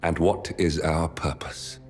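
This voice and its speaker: An embittered male killer in a video game drama, his voice extremely deep. He speaks slowly and gravely, pausing with brooding intensity. A loud, ominous score plays underneath. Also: fairly even intonation, over music. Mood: intense, coaxing. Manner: grave, deliberate, intense pauses. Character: brooding, embittered rogue male assassin, urgent and persuasive.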